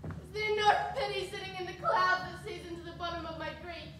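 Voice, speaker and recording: A woman's voice: extremely high pitch, fairly even intonation, fairly quick. A woman playing a distraught, defiant young noble daughter, impassioned in Shakespearean stage style. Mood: desperate, pleading. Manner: impassioned, declamatory. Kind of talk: theatrical Shakespearean stage acting. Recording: very echoey distant recording, clear noise.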